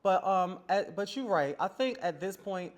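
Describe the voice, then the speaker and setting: A woman's voice: mid-range voice, expressive, normal speed. A woman over forty telling stories casually and emphatically as a podcast guest, with sassy, slangy phrasing. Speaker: podcast guest, ballroom scene veteran. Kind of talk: casual conversational storytelling.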